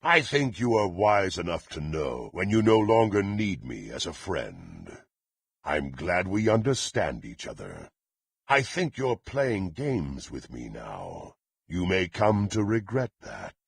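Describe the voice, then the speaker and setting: A haughty, power-hungry dark warrior for a fantasy video game. His low, rasping male voice swings dramatically in pitch as he delivers threats in lofty, old-fashioned phrasing. He sounds violent, proud and utterly menacing. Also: wildly swooping pitch, slow pace, no echo, studio quality, heavily acted. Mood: haughty, brooding. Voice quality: gravelly, raspy. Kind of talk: theatrical fantasy game voice acting.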